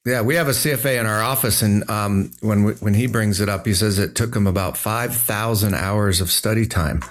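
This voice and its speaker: A man's voice: fairly low pitch, fairly quick. A man, a financial advisor co-hosting a podcast, talking in an upbeat, chatty way with plenty of fillers. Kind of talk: podcast conversation.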